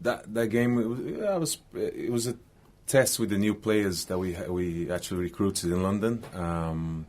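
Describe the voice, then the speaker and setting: Brazilian man with a very low voice. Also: a little mellow. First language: Portuguese. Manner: halting, searching for words. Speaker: team manager. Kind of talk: radio interview.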